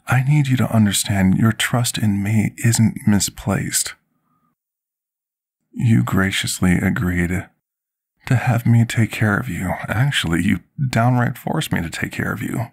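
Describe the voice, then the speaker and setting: ASMR fantasy roleplay: humble male potion master played by a man, very deep, gravelly voice, measured formal delivery, apologetic and dutiful. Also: full character voice. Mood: devoted, earnest. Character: devoted, apologetic male potion master tending a dragon patient.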